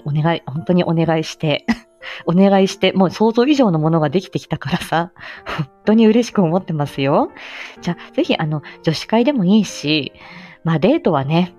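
Lively low-voiced woman chatting on a livestream. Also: normal speed, highs cut off, faint music behind. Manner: playful, chatty, self-deprecating. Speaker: livestream host. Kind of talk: casual livestream chat.

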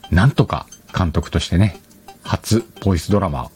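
A man's voice: deep voice, moderate pace, darkish tone, soft background music. A man hosting a casual solo podcast, chatting colloquially, rambling and correcting himself, on a dedicated microphone. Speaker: podcast host.

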